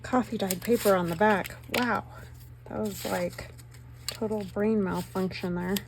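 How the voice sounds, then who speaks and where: A middle-aged woman with a slightly hoarse voice talks her viewers through a craft project in a rambling, self-mocking way. Paper rustles and clicks sound behind her, and the recording has a clear layer of background noise. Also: medium pitch, steady tone, a little slow. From northern Nevada, US. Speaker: craft channel host. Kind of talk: chatty crafting commentary.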